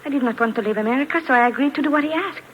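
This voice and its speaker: A woman's voice: high-pitched, racing, close to an everyday voice. A woman playing an earnest, frightened foreign immigrant in a 1950s radio drama, speaking in formal, careful phrases. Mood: earnest recounting. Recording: dull, faintly noisy vintage radio recording.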